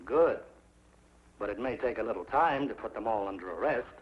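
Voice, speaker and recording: An actor playing a smooth, duplicitous town official who speaks in a formal, measured way in a 1950s TV Western. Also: male voice, lowish voice, expressive. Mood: formal, evasive. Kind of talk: 1950s television Western acting. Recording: dull, noisy 1950s TV soundtrack.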